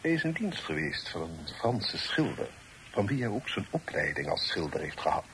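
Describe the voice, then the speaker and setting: Casting: a worldly, formal middle-aged father in radio drama, measured yet very varied in intonation, faint noise on an old recording. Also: low voice, sing-song. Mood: confidential, explanatory. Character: authoritative, worldly father advising his grown son. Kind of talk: Dutch radio play acting.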